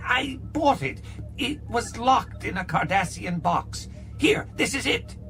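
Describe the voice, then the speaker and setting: A man voicing a scheming, greedy male alien barkeeper with a high, wheedling voice in an audiobook, music behind him. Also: lively intonation, slow, vocal fry, fairly bright tone, full character voice. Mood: pleading. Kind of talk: audiobook character voice.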